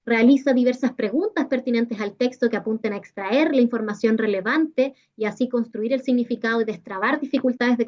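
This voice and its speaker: High-voiced Chilean woman presenting a workshop. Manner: didactic, checks in with short tag questions. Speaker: teacher-training workshop presenter. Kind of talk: explanatory online workshop presentation. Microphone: video call. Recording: dull, lo-fi video call audio.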